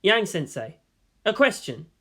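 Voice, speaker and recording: A man's voice: sits high, big pitch swings, a little slow, fairly bright tone, exaggerated voice. A man voicing an earnest, polite, troubled teenage boy who questions his teacher deferentially in a story reading. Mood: troubled questioning.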